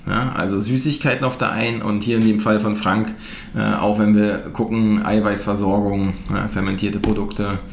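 A man's voice: fairly low pitch, flat delivery, normal speed, vocal fry. A man from northeastern Germany hosting a podcast, talking casually with lots of fillers and tag questions. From Mecklenburg-Western Pomerania, eastern Germany. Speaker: podcast host. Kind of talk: casual conversation. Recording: roomy with faint noise.

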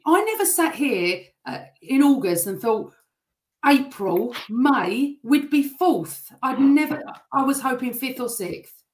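A woman's voice: high voice, slow pace. Emphatic woman in an echoey room. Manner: animated, emphatic, talks over others. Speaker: fan panel guest. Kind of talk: casual football fan chat.

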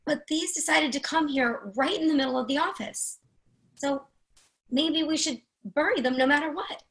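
A fretful office woman played by a woman in a play reading, pitched on the high side. She is sensitive and anxious, hesitating as she goes. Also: normal speed, a bit bright. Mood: worried.

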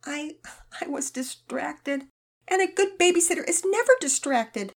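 A woman voicing a remorseful young girl who stammers and speaks haltingly in a children's storybook reading. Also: high-pitched, expressive, vocal fry, voice pushed up, heavily acted. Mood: halting, tearful.

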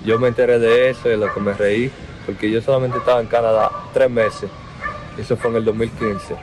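A young man in his twenties with a Dominican accent, speaking in an interview in a slow, halting way that repeats words. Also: medium pitch, little pitch movement, music in the background, poor audio. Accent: Dominican Spanish. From Dominican Republic. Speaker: basketball player interviewed. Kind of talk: outdoor interview.

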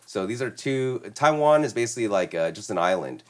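A young man from the United States talks in a relaxed, slangy way, dropping "man" and "you know what I mean" into nearly every thought. His voice sits low but moves a lot in pitch, rising and falling as he explains. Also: a little fast, clean tone. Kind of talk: casual chat.

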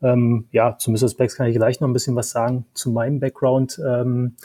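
A man's voice: mid-range voice, level tone, moderate pace, warm tone. A man from Berlin with a Berlin accent, a tech guest on a podcast, talking casually with many fillers and hesitations. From Berlin, Germany.

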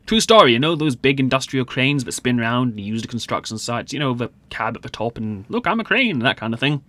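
A man's voice: mid-range voice, animated, a little fast, bright, forceful tone, no room sound. A man playing a talkative, easygoing, joking secondary-school pupil in a light comedy audio drama, rambling playfully.

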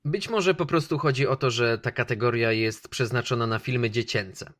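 A man's voice: mid-range voice, normal speed, a bit bright. A man presenting a film review on a radio show, giving his opinions in a conversational way.

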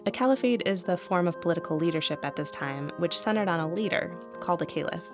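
A woman hosting a podcast and reading scripted narration in a clear, even way. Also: on the low side, quick pace, over music. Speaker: podcast host.

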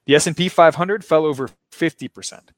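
A man's voice: fairly high pitch, fairly quick, no room sound. A man hosting a casual finance chat, explaining ideas in a loose lecturing way with frequent laughs. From Baltimore, Maryland. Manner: laughs often, talks with a loose lecturing rhythm. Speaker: show host. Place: remote, in Brazil.

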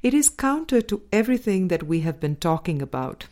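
A woman reading a spiritual book aloud as a scripted narration, with measured, deliberate phrasing in short groups. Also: lowish voice, sing-song, a little mellow. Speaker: audiobook reader.

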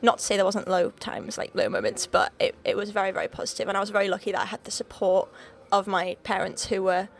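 A woman's voice: mid-range voice, little pitch movement, quick pace, creaky, hardly any pauses. A young woman from North Wales with a Liverpool-leaning accent, chatting as a podcast guest with lots of fillers, restarts and laughs. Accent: Liverpool-leaning North Welsh. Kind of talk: casual interview chat.

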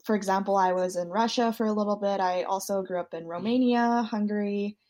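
A woman's voice: medium pitch, fairly even intonation, fairly quick, darkish tone. A young woman in her twenties, originally from South Korea, answering podcast interview questions fluently and thoughtfully, with some um fillers. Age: 20s. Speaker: student guest.

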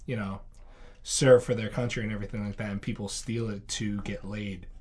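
A man's voice: on the low side, fairly even intonation, a little fast, darkish tone, husky. Earnest young man, easy laugh. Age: 20s. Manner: earnest, laughs easily, trails off. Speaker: guest, former recon marine. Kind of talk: casual chat over drinks.

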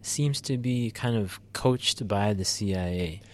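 A man with a low-pitched voice co-hosting a conversational show. He talks things through, stopping and restarting often with plenty of ums. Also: unhurried, slight background noise. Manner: thoughtful, many fillers and restarts. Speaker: podcast co-host. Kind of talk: casual political discussion.

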